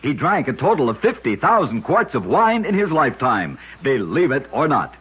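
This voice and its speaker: A man hosting a radio program, reading scripted narration of curious facts in a measured, emphatic storyteller's delivery. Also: mid-range voice, sing-song, husky. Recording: muffled, lo-fi radio recording.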